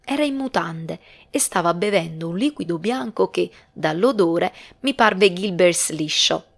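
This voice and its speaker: A woman's voice: fairly low pitch, lively intonation, a little slow, gravelly. A woman reading a novel aloud as scripted audiobook narration. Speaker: audiobook reader.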